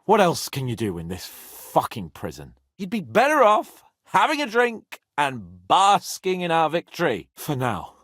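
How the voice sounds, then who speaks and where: An actor voicing a proud young nobleman knight in a video game, haughty, flippant and expressive, given to grumbling and swearing. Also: fairly high pitch, a little slow, hardly any pauses, no room sound, studio quality, full character voice. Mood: weary, sullen.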